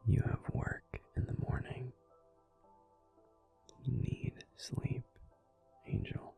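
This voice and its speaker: A man's voice: takes it slowly, soft background music, close to an everyday voice. A man voicing a grumpy but tender male werewolf boyfriend in a whispered bedtime audio roleplay, fussing protectively and then soothing. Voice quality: gruff and soft whispering.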